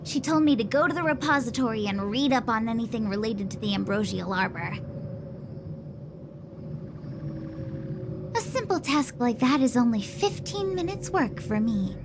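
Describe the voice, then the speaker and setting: A woman's voice: a little high, a little fast, hardly any pauses, noisy background, natural voice. A woman playing a laid-back, chatty young diviner's aide in a video game, talking in a casual, breezy way. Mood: casual, helpful. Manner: casual, breezy, drawling slang. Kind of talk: video game cutscene acting. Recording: loud music behind the voice. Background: music.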